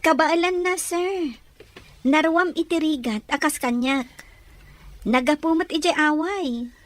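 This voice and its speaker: A woman's voice: sits high, measured pace. A woman playing a helpful, deferential young office employee in a radio drama.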